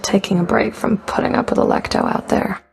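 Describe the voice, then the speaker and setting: A woman's voice: mid-range voice, fairly even intonation, brisk, creaky, slightly rough, full character voice. A woman playing a stern, sardonic underworld Fury in a video game, speaking in a cool, dry, clipped way. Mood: dry, teasing. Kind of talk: video game character voice acting.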